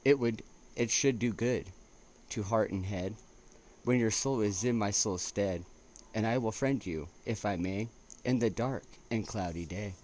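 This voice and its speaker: A man reading poetry aloud as an amateur, in a halting way with stumbles and restarts. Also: lowish voice, darkish tone. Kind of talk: poetry recitation with casual asides. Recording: noticeable background noise.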